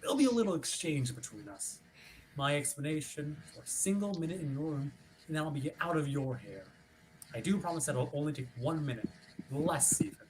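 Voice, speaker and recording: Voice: mid-range voice, expressive, a little fast, close to an everyday voice, rough recording. An actor playing an officious, politely pushy ship's watch officer in a formal, wordy script reading. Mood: smooth, threatening. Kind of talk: theatrical script reading over a livestream. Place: remote online stream.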